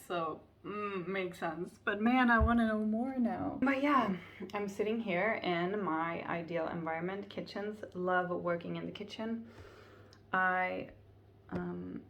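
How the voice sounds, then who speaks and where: A woman whose first language is Finnish, talking casually to camera in her home kitchen, chatty and often laughing. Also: on the low side. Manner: chatty, laughs often, thinks aloud with fillers. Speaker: vlogger. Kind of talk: casual vlog talk to camera.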